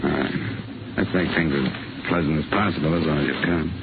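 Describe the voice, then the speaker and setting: An actor playing a tough, wry, world-weary investigator in a terse 1950s radio detective style. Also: male voice, extremely low pitch, fairly even intonation, brisk, creaky, heavy background noise. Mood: sardonic, guarded. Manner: terse, sardonic, hard-boiled. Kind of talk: 1950 radio detective acting. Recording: tinny vintage radio recording.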